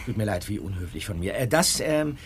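An actor playing a friendly, polite and eager young male detective in an audio drama, speaking a little haltingly. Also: lowish voice, lively intonation, lightly acted. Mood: apologetic.

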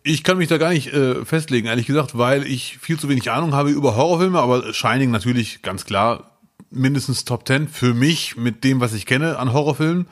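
A man's voice: mid-range voice, a little fast. A man co-hosting a casual podcast chat, cracking dry ironic jokes. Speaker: podcast co-host.

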